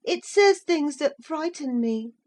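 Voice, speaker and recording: A woman voicing a timid, frightened little boy in a storybook reading, with a high, tearful voice. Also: unhurried, dark tone. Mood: fearful.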